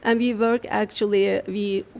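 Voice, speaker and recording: A woman researcher answering interview questions in a measured, explanatory way, often pausing on fillers. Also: medium pitch, little pitch movement, creaky. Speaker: university researcher, guest.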